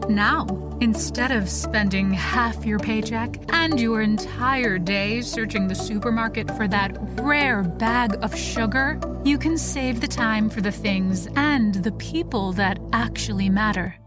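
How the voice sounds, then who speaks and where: A woman's voice: on the high side, measured pace, few pauses, loud music behind the voice, close to an everyday voice. A woman playing an upbeat, polished advertising announcer in a parody commercial for an audio play. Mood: soothing, selling. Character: upbeat, slick female advertising announcer selling disaster-era products.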